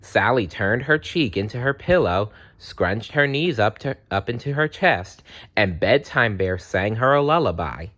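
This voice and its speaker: A man narrating a children's story aloud in an expressive storytelling style. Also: fairly low pitch, a little slow.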